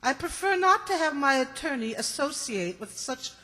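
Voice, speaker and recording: High, haughty, indignant matron. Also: female voice, sing-song, normal speed, bright, forceful tone, heavily acted. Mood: scornful. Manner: pompous, scornful. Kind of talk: old-time radio comedy acting. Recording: reverberant hall stage recording.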